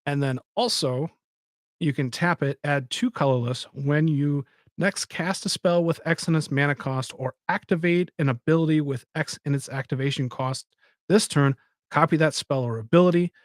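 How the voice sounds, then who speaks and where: A man's voice: on the high side, moderate pace, no echo, clean studio sound. A man hosting a live stream, chatting casually and rambling as he talks to his viewers. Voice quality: says he has a soft voice. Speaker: podcast host.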